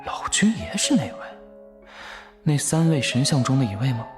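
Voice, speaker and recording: An actor playing a deferential, wary young disciple in a Chinese audio drama. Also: male voice, mid-range voice, big pitch swings, normal speed, close to an everyday voice. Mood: flattering, eager. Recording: roomy echo, faint music behind.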